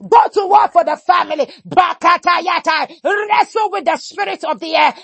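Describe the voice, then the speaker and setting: A woman prays at full shout in a very high, gravelly voice, slipping into tongues between declarations. Her lines come in a chanting, repeated rhythm, each one driven hard. The sound is close and dry, with no room around it. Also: no echo. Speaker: prayer leader. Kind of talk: fervent shouted prayer with speaking in tongues.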